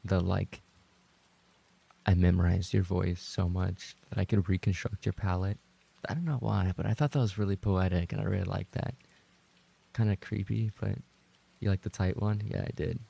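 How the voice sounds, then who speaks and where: A man chatting casually as a livestreamer, rambling and trading playful banter with his viewers, in a gravelly, husky voice. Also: low voice, flat delivery, moderate pace, dark tone. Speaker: livestreamer and ASMR voice actor reading chat.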